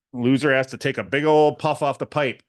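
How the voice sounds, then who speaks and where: A man's voice: medium pitch, no room sound, clean studio sound. A man hosting a podcast with casual banter and interview questions. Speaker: podcast host.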